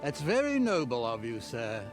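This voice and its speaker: An actor playing a brisk, wry, middle-aged medieval lord who blusters and commands his men in a video game. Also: medium pitch, wildly swooping pitch, music in the background. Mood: politely defiant. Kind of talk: dramatic video game acting.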